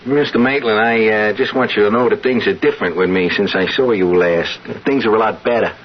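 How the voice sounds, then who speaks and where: An actor playing an eager, insistent working-class man in love and newly rich, with halting, pleading street talk in a 1970s radio drama. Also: on the low side, natural voice. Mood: respectful, awkward. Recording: muffled old radio broadcast with music.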